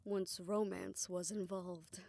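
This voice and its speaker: A woman playing a tender, self-assured older woman in a romantic audio roleplay, speaking in a reflective, gently formal way. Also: on the low side, level tone, slow, vocal fry. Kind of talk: romantic audio roleplay, intimate scripted monologue.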